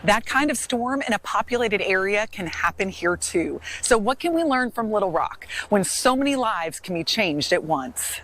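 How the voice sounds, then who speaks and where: A woman's voice: mid-range voice, lively intonation, normal speed, hardly any pauses, fairly bright tone. A woman reporter reading scripted narration for a television news story.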